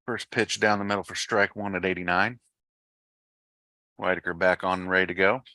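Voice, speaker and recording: A man's voice: low voice, fairly even intonation, moderate pace, bright, forceful tone, no room sound. A man giving live baseball play-by-play commentary in clipped, matter-of-fact phrases.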